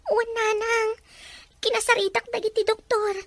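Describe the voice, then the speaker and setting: A woman's voice: very high-pitched, little pitch movement, normal speed, dry recording. A woman acting a dutiful young daughter in an Ilocano radio drama, earnest, respectful and pleading. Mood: sad, worried. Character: dutiful young daughter, devoted to her ailing mother.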